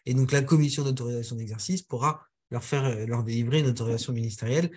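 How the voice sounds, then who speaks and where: A man giving a webinar presentation over a video call, speaking haltingly with many filler sounds and restarts. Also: medium pitch, steady tone, a little mellow, husky.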